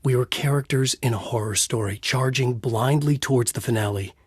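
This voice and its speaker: An actor playing a tormented thriller writer trapped in a horror story in a video game, with a grave, introspective, intense delivery and a husky voice. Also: male voice, medium pitch, a little fast, no echo, clean studio sound, natural voice. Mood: grim, resolute. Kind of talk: brooding dramatic game dialogue and noir monologue.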